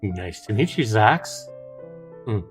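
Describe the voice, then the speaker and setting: A man's voice: fairly low pitch, big pitch swings, slow pace. A man hosting a live stream, chatting casually and reading on-screen text aloud as he comments on a game. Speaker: live streamer, show host. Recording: music under the voice. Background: music.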